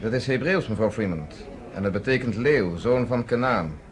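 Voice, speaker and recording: An actor playing a confident, courteous underground leader in a radio play, speaking in a measured, formal way. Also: male voice, on the low side, lively intonation, close to an everyday voice. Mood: courteous, assured. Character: confident, persuasive underground leader, former army captain, courteous.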